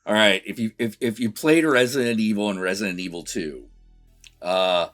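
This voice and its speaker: A man co-hosting a casual review chat, rambling and halting, swearing freely as he goes. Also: lowish voice, a bit bright, faint music behind. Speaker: co-host of a movie review vlog.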